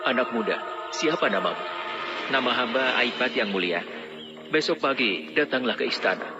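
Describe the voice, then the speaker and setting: A man's voice: medium pitch, expressive, normal speed, close to an everyday voice. A man voicing male fairy tale figures of a royal court, formal and declarative. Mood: formal, regal. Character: fairy tale royal ruler and hopeful horseman. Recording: dull lo-fi audio, music bed.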